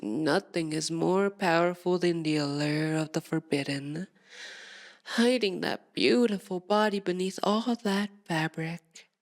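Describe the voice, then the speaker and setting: Slow, seductive vampire noblewoman, acted by a woman. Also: medium pitch. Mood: teasing, unhurried. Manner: slow, theatrical, purring, teasing formality. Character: seductive, sadistic, possessive female vampire aristocrat. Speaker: voice actor in audio roleplay. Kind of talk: ASMR roleplay, dramatic monologue to listener.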